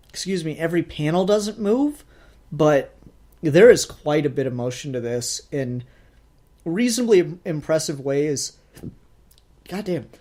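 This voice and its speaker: A man co-hosting a casual review discussion, thinking aloud in a halting way with repeated phrases. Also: on the high side, darkish tone. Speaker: podcast co-host reviewing a fan film.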